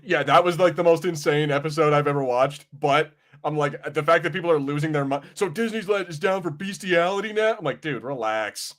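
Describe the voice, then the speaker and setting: A man hosting a casual pop-culture podcast, chatting in an animated way and arguing his point with casual swearing. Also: on the high side, fairly quick, hardly any pauses. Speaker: podcast host.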